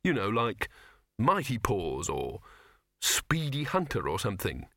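A man's voice: lowish voice, wildly swooping pitch, measured pace, gravelly, a bit bright, dry recording, studio quality, full character voice. A man playing a nervous, earnest young man. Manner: hesitant, earnest.

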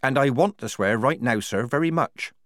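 A man's voice: medium pitch, animated, moderate pace, fairly bright tone, dry recording, pitched above the performer's own voice, close to an everyday voice. A man voicing a young woman soldier for an audiobook, dry, plainspoken and worn out. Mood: exasperated. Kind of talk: audiobook character voice.

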